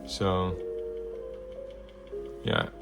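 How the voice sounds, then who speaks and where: A man presenting a casual hands-on product demonstration, thinking aloud in loose, halting phrases. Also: fairly bright tone, faint music behind. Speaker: vintage hi-fi video presenter.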